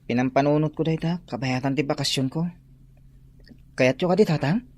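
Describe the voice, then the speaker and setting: A man's voice: fairly high pitch, a little fast, a little mellow, natural voice. A man acting a respectful, hesitant young son in a radio drama, with a Filipino accent. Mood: earnest. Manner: hesitant, deferential.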